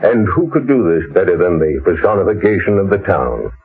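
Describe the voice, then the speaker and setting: An actor playing a solemn, chilling older town patriarch in an old-time radio drama, measured and formal in delivery. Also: male voice, low voice, sing-song, breathy edge, full character voice. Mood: solemn, insistent. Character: solemn, chilling town elder, father justifying ritual sacrifice. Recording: muffled vintage radio recording.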